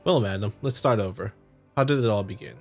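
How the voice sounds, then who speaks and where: A man's voice: fairly low pitch, a little fast, a little mellow, faint music behind, lightly acted. A man voicing a brusque, skeptical investigator in a visual novel, probing and dryly sardonic. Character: brusque, skeptical male investigator questioning a witness. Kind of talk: visual novel dialogue read aloud.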